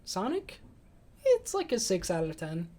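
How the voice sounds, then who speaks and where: A man's voice: sits high, huge pitch swings, a little slow, dark tone. A man talking informally about movies as a podcast co-host. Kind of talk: casual movie chat. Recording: noisy amateur room recording.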